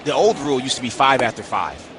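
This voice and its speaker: A man, a former player, giving relaxed, folksy color commentary on a baseball radio broadcast. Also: mid-range voice, a little hiss or hum. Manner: folksy, conversational, a few halting restarts.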